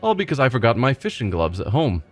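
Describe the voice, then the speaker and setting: A man's voice: medium pitch, huge pitch swings, fast. A man voicing a cheerful, simple-minded male village fisherman who rambles and repeats himself proudly. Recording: faint game music behind.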